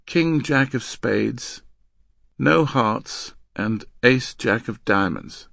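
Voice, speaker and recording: A man voicing an exhausted, tearful young woman who pleads in a high, thin, breathy voice, as in an audiobook story. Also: very animated, slow pace, no echo, studio quality, full character voice. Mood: weary reciting.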